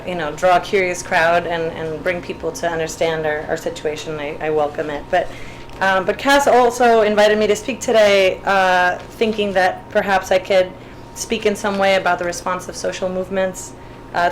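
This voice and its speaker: A fairly low-pitched young woman from Puerto Rico gives a panel talk in a hesitant, stop-and-start way, often repeating words as she finds her thoughts. There is a faint hum of noise behind her at the event. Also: poor audio. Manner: hesitant, many restarts and fillers. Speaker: panel speaker, artist. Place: event room.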